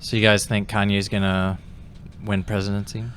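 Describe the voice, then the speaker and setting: Bright, forceful man with lowish voice. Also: flat delivery, normal speed. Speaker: podcast co-host. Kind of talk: casual chat. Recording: noticeable background noise, room ambience.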